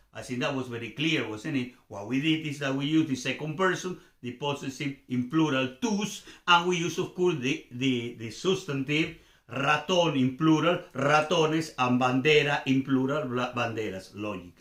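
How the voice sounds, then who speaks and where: A man's voice: medium pitch, normal speed, fairly bright tone, echoey room. A man from Venezuela with a Venezuelan accent and native Spanish gives an informal grammar lesson as a teacher, repeating examples and checking understanding with questions. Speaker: language teacher and host.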